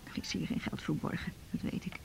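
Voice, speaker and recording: An actress playing a sleepy, sceptical woman in a radio drama, hushed and gravelly, dismissive and grudging. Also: fast talker, close to an everyday voice. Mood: irritated. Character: sleepy, irritated, sceptical woman roused at night. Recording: noisy, rumbling radio play recording.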